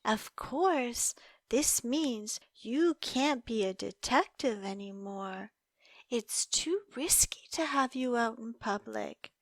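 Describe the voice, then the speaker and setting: An obsessive young yandere woman, acted by a female performer in an audio roleplay, speaking very slowly in a high, swooping voice. She coos and teases with sweet menace, dropping at times into whispers. The sound is close and dry. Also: expressive, dry recording. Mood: adoring, obsessive. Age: young adult. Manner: cooing, teasing, slow and deliberate, breaking into whispers. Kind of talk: intimate scripted roleplay monologue.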